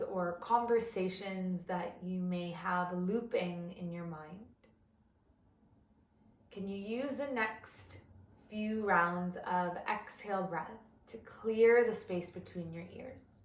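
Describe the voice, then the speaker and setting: Woman doing guided meditation, gentle instructions, really slow w long pauses, kinda echoey room. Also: lowish voice, steady tone. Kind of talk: guided body scan meditation.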